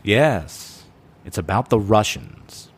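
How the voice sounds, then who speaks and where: An actor playing a suave, secretive professor and spy recruiter in an audio drama, formal and theatrical. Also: male voice, lowish voice, lively intonation, slow, slightly rough, exaggerated voice. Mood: grave, secretive. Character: suave, secretive criminology professor man who recruits spies.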